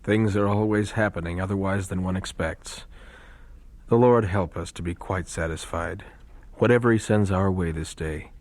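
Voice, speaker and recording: An actor playing a thoughtful, devout young missionary in a radio drama, speaking earnestly and reflectively. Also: male voice, deep voice, normal speed, dry recording, close to an everyday voice. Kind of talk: radio drama acting, letter monologue.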